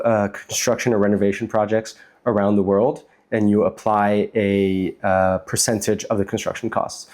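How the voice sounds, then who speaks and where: An American man with a fairly low voice speaks as a guest in an interview. He explains things in a casual, conversational way, sprinkled with informal phrasing. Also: darkish tone. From United States, New York City. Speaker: interview guest, startup chief executive.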